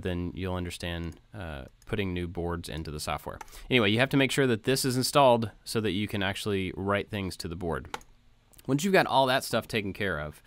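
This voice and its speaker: A man with a fairly low voice talks through a tutorial in a casual, informal manner. He explains things step by step, and the recording is dry and close, with very little room sound. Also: a bit bright, clear, resonant tone, dry recording. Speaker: tutorial presenter. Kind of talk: casual screen-share code walkthrough.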